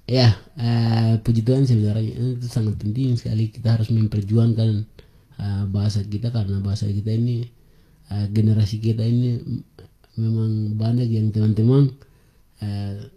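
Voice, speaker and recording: A young man in his twenties speaks with a very dark, mellow tone. He is a guest in an interview, pausing often on filler sounds and laughing between points. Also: fairly low pitch, flat delivery, low-quality recording. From Papua highlands, Indonesia. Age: 20s. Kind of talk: conversational interview.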